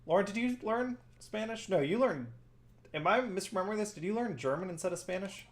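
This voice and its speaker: A man's voice: high voice, lively intonation, fairly quick. Chatty gamer man, Farsi native. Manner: chatty, answers chat viewers by name. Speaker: streamer and host. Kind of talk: casual chat while gaming.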